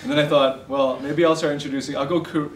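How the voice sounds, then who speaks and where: A man's voice: on the high side, level tone, a little hiss or hum. A male interviewer asking questions and sharing his own story in a chatty, self-mocking way. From Chinese background. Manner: chatty, quick to laugh at himself. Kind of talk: casual interview talk. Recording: echoey room from far off.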